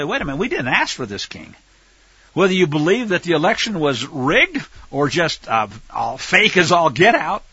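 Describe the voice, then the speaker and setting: An American man with a husky voice preaching a Bible lesson in an emphatic, sarcastic way, full of rhetorical questions. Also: sits high, pushed and bright, no room sound, muffled recording. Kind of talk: sermon, Bible teaching with political commentary.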